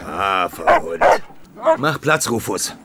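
A brusque but loyal young stable lad in a radio play. His intonation moves a lot, and clear animal noise and ambience surround him. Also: deep voice, big pitch swings, slow, exaggerated voice. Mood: obedient. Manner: blunt, gruff with strangers. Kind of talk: German radio play acting. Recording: clearly noisy background ambience. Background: stable with animal sounds.

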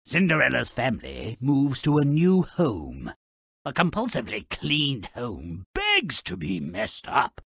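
An actor voicing a gleefully malicious little dwarf storyteller in a video game, raspy and gravelly, with a theatrical, sardonic manner. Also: male voice, a little high, pushed and bright, dry recording, studio quality, heavily acted. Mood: sardonic narrating. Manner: theatrical, sardonic, relishing nasty words. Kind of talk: video game character narration.

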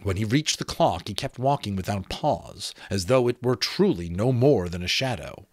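A man's voice: on the low side, expressive, moderate pace, no echo. A man in his thirties narrating a storybook expressively, close to the microphone. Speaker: audiobook narrator. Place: small room with sloped ceiling, indoors. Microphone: close desk microphone.

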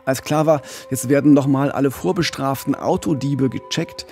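A male podcast host tells a true-crime story in a conversational way. His voice has a gravelly creak and a middling pitch, recorded close on a studio mic over faint music. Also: steady tone, moderate pace, warm tone. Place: radio studio. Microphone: close studio microphone with pop filter.

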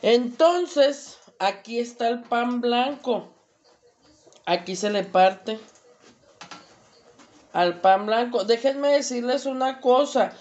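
Mexican woman, home cooking video, slow unhurried talk, slightly dull sound. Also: fairly high pitch, a little mellow. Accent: Mexican Spanish. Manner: slow, chatty, many fillers. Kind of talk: casual cooking demonstration. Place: home kitchen, indoors. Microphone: camera across the stove.

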